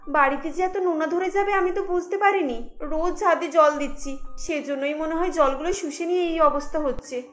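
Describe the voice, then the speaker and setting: A woman's voice: high-pitched, normal speed, faint music behind, close to an everyday voice. A woman voicing a remorseful, apologetic young daughter-in-law in a cartoon. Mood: ashamed, apologetic.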